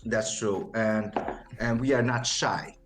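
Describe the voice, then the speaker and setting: A man who is not a native English speaker, speaking as a guest on a casual livestream interview, halting and full of restarts. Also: on the low side, fairly even intonation, noisy background. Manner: halting, with many restarts and fillers. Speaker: interview guest, token project owner.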